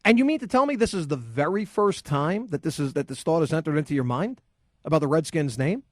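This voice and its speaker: A man's voice: fairly high pitch, lively intonation, a little fast, no echo. A man hosting a sports call-in radio show, ranting combatively and sarcastically into a studio microphone. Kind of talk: heated opinion monologue and call-in.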